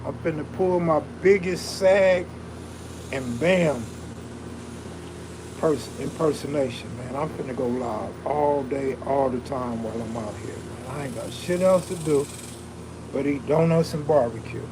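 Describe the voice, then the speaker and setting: A man's voice: medium pitch, measured pace, background noise. A man hosting a casual live stream, chatting in a laid-back, slangy, streetwise way with a soothing, soulful voice and reading comments aloud.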